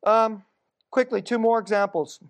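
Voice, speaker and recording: A woman's voice: steady tone, normal speed. Korean American woman preaching conversationally. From United States. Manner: conversational, storytelling, drops to a hushed low voice for eerie moments. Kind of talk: sermon with personal stories.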